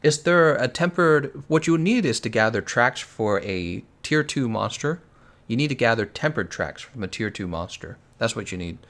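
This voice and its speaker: A man's voice: medium pitch, animated, moderate pace, fairly bright tone. A young man with an American accent, chatting casually on a game stream, answering viewers and laughing often. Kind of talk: casual live-stream commentary.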